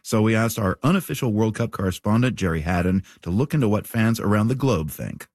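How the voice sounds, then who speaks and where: A man's voice: fairly low pitch, lively intonation, fairly quick, a little mellow, no room sound, clean studio sound. A man co-hosting a radio program, reading scripted news in a light, wry way. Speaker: radio co-host.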